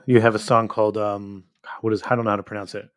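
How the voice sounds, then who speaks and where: A man's voice: on the low side, flat delivery, quick pace, no echo, studio quality. A man hosting a podcast and asking curious follow-up questions with fillers. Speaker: podcast host. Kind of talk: casual interview questions.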